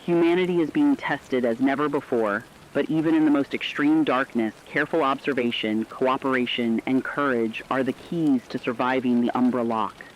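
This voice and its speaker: A man playing a serious emergency radio reporter, reading scripted horror narration with measured urgency. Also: mid-range voice, slight background noise, close to an everyday voice, poor audio. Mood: serious, urgent. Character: serious male radio reporter advising apocalypse survivors.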